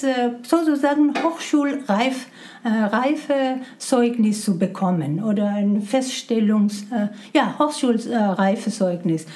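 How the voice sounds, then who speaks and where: Middle-aged Iranian woman with a Persian accent, high voice, halting non-native German, full of fillers. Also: warm tone. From Iran. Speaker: interview guest. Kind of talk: conversational interview.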